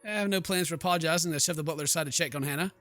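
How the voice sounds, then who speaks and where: Bright, higher-voiced man playing games. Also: rapid-fire, soft background music. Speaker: gaming YouTuber. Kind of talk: let's play commentary with dramatic read-alouds.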